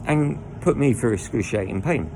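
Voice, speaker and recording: A man with a croaky voice, telling his own story in a rambling way full of restarts and asides. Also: mid-range voice, lively intonation, moderate pace, noisy background. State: croaky voice from lying down. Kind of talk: candid personal storytelling. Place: indoors lying down at home.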